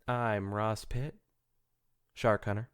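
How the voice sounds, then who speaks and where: A man's voice: lowish voice, little pitch movement, slow pace, over music. A man hosting a casual comedy podcast, joking and rambling with some swearing. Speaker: podcast host.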